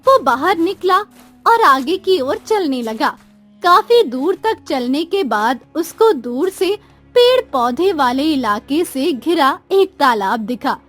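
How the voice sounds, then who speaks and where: A woman narrates a scripted moral tale. Her voice is high and her pace is slow and measured. Soft music plays behind her. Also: very animated.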